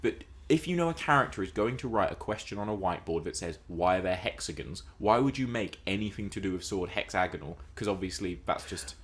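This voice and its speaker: A man's voice: low-pitched, expressive, fairly quick, few pauses. A man co-hosting a casual film and TV discussion podcast, talking in an explanatory, emphatic way. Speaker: podcast co-host.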